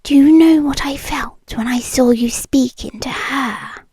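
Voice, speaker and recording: A British woman playing a possessive, wealthy, menacingly sweet yandere in an intimate ASMR roleplay, drifting between soft deliberate speech and breathy whispers. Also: high voice, no echo, exaggerated voice. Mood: quietly menacing. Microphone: close microphone, dry.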